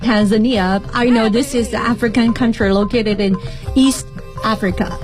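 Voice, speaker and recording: A woman's voice: on the high side, fairly even intonation, normal speed, a little mellow, faint music behind. A woman with a Chinese accent hosting a conversational radio interview. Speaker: radio show host.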